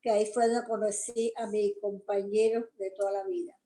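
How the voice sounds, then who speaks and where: A woman's voice: mid-range voice, little pitch movement, moderate pace, mellow, roomy sound, low-quality recording. An older woman from Cuba with a Cuban accent, chatting loosely about her past with fillers. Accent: Cuban Spanish. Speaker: grandparent being interviewed. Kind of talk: recounting memories.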